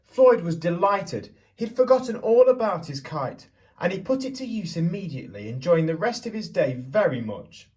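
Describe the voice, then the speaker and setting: Animated man reading a children's storybook, slightly distant. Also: high-pitched, moderate pace. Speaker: primary school teacher reading aloud. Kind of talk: expressive children's storybook reading with questions to listeners.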